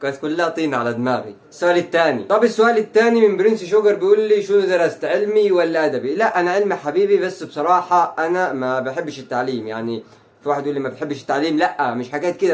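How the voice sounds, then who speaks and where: Fast-talking young Egyptian man. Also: fairly high pitch. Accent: Egyptian Arabic. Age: 20s. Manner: chatty and brash, rattles off lines. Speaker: YouTuber. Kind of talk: answering fan questions to camera. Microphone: phone.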